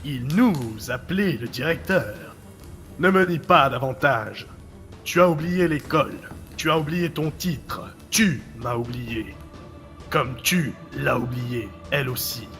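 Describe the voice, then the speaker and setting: A man acting a mocking, menacing director in an audio drama, with deliberate, theatrical taunts. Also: mid-range voice, fairly bright tone. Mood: taunting, accusing. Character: mocking, menacing male director, hero's forgotten other self. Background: music.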